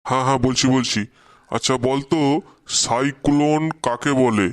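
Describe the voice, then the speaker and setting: A man's voice: medium pitch, steady tone, normal speed, no echo, studio quality, close to an everyday voice. A man voicing a strict, exasperated middle-aged schoolteacher in a Bengali comedy cartoon, scolding with a Bengali accent. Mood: stern. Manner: scolding, threatening.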